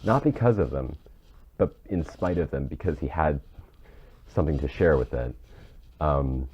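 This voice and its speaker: Very deep, muffled, choked-up man. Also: slight background noise. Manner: earnest, halting, searching for words. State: choked up, tearful. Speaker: podcast co-host. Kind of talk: casual, reflective discussion. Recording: muffled, dull microphone.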